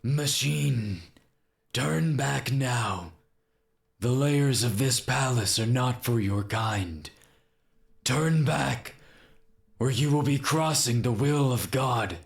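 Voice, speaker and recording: Proud, vengeful angel warrior for a game: very slow, grandiose delivery, gravelly edge, light reverb. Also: male voice, mid-range voice, voice pushed up. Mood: righteous command. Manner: grandiose, archaic, slow dramatic declamation. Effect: added reverb and delay.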